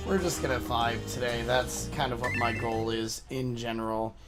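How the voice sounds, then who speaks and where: Slightly raspy man, easygoing stream chat. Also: mid-range voice. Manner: relaxed, rambling, easygoing. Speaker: VTuber streamer. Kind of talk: casual livestream chat. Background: game music.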